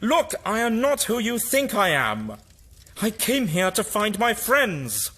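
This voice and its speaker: A man playing a fussy, animated time traveller. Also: high voice, slow pace, full character voice. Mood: fussy protest. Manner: courteous, fussy, protesting. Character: polite, flustered, eccentric time-travelling male adventurer. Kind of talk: audio drama acting.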